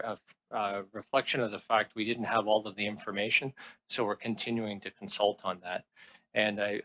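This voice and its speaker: A man's voice: fairly low pitch, pushed and bright, low-quality recording. A man speaking as a senior government official at a committee hearing, formal and diplomatic, with hesitant, often stumbling delivery. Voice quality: hesitant delivery, frequent stumbles and repeated words. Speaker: senior transport department official. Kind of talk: answering questions at a committee hearing.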